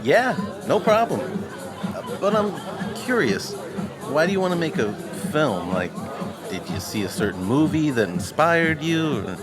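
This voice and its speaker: Loud music and a busy crowd surround a cynical, condescending man played by a male actor. He talks like a blunt know-it-all in a conversational drama, with animated pitch. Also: unhurried. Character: condescending, cynical film-buff man giving jaded advice. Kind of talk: conversational indie drama acting.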